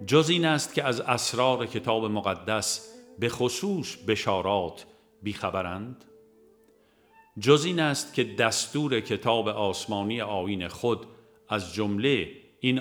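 Guy with a lowish voice narrating a religious book, measured and formal, faint music behind him. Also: a bit bright. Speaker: audiobook reader.

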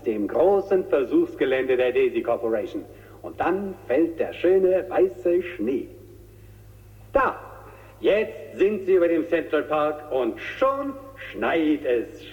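A man's voice: fairly high pitch, long reverb tail. Tinny, gushing TV showman. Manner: gushing, savoring and repeating words. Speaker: TV presenter in a drama. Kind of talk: radio drama, showman-like broadcast commentary. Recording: tinny narrow-band radio drama recording.

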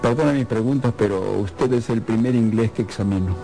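An actor playing an eccentric, nosy company doctor, courteous and quietly probing, in a radio drama. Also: male voice, fairly low pitch, brisk, gravelly, music in the background. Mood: courteous, curious.

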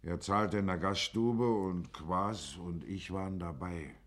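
Casting: small-town neighbour, radio play, deep register, measured, respectful delivery. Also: male voice. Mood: measured, deferential. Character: plain-spoken small-town neighbour testifying to a magistrate. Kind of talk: German radio drama acting.

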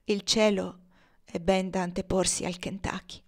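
A woman voicing a dying old man in an audiobook, gentle, feeble and devout, speaking with solemn peace. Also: mid-range voice, level tone, natural voice. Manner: solemn, devout, slow-breathed exaltation.

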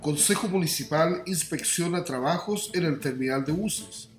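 A man's voice: on the high side, moderate pace. A man with a Chilean accent presenting a scripted radio news bulletin. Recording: roomy echo, music bed behind. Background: music.

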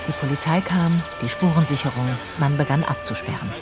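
An actress playing a curious, persistent private detective in a German radio crime drama. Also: female voice, sits low, a little fast, over music, natural voice. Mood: sober, curious. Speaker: narrator and lead actress. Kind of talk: German radio crime drama, first-person narration and dialogue.

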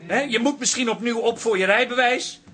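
A man's voice: very high-pitched, fairly quick, over music. An actor playing a cheeky, tactless young man in a radio drama, blunt and rambling. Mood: blunt reassuring.

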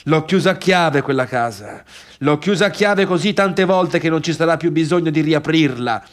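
An actor playing a middle-aged failed pianist, bitter and obsessive, in a scornful, sarcastic theatrical monologue about the sister who left him. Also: male voice, fairly high pitch, lively intonation, moderate pace, natural voice. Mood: wounded, bitter. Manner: scornful, sarcastic, brooding, swinging between muttering and outbursts.

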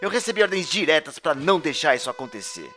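A menacing police captain played by a man with a bright, forceful voice and lively swoops in pitch. He is pompous and sarcastic, questioning theatrically in a comedic radio play. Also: quick pace, faint music behind. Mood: confrontational. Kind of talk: comedic audio drama acting.

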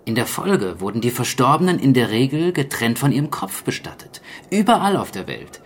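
A man playing a sober archaeologist in a radio drama, speaking in a measured, explanatory way. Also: medium pitch, very animated, a little hiss or hum. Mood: grave, explanatory. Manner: measured, matter-of-fact, explanatory. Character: sober male archaeologist explaining a zombie plague. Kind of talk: naturalistic German radio drama acting.

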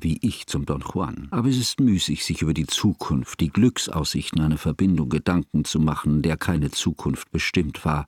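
An actor playing a sad, elderly scholar who narrates in a formal, reflective, literary manner for a radio drama. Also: male voice, rumbling low, darkish tone, slightly rough, no room sound, studio quality, exaggerated voice. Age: older. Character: sad, elderly scholarly man recounting a friend's tragedy. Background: music.

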